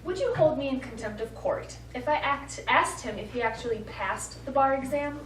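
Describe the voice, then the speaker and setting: A female actor as a sardonic society wife, arch and mischievous, with a fairly high voice. She is heard at a distance in an echoey theatre room. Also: moderate pace, lightly acted. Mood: sarcastic. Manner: arch, sarcastic. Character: sardonic, playful, scheming wealthy wife. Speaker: stage actor. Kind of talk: live stage play acting. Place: small theatre studio, recorded from the audience. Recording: echoey stage recording from afar, background noise.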